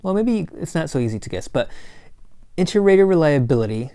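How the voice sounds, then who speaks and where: A man giving a recorded college lecture in a casual, joking way, his voice slightly hoarse, often checking in with "right?". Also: on the high side, very animated, a little fast, warm tone, no room sound, studio quality. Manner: casual, corny asides, frequent "right?" and "um". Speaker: college instructor.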